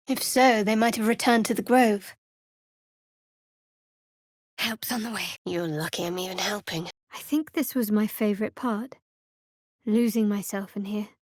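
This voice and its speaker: An actress voicing a guarded, wry female cleric of a dark goddess in a fantasy video game, with a cool, measured, sardonic delivery. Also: mid-range voice, no room sound, studio quality, natural voice. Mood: brusque, then soft, wistful.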